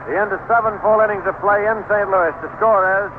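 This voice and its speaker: Through heavy noise and a thin, narrow radio band comes a male baseball announcer. He has a slightly high voice and calls the game in measured, short descriptive phrases, in the manner of 1970s sports radio. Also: fairly even intonation. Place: ballpark broadcast booth. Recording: noisy, narrow-band old AM radio broadcast.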